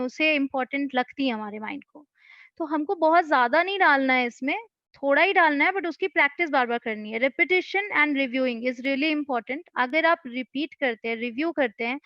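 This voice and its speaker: Coach type, woman, high voice, patient and advisory, dry lo-fi sound. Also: dry recording. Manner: patient, advice-giving explainer. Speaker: English-speaking coach. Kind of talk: answering questions in an online session.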